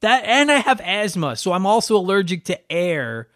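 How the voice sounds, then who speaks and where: A man's voice: high voice, sing-song, normal speed, a bit bright, no room sound, studio quality. A man hosting a retro gaming podcast, talking casually with a stuffed-up nose, rambling and swearing with self-deprecating jokes. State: stuffed up from allergies. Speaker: podcast host.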